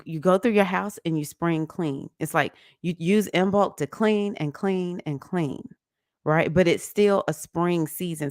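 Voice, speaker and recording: A woman, hosting a casual livestream about astrology, chatty and animated, laughing often and greeting viewers by name. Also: on the low side, dry recording, clean studio sound. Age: 50s. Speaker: livestream host.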